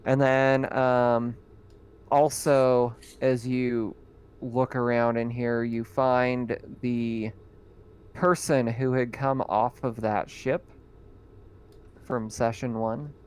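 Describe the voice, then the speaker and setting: A man runs a tabletop roleplaying session as game master. His voice is smooth and clear at a middle pitch, and he describes gory scenes with plenty of fillers and reads rules aloud. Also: level tone, takes it slowly.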